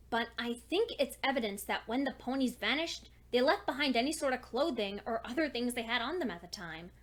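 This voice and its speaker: A woman's voice: a little high, fairly bright tone. A woman voicing an anxious young female pony scholar, earnestly and precisely reading out a log as a dramatic story. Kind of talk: dramatic first-person fan fiction reading.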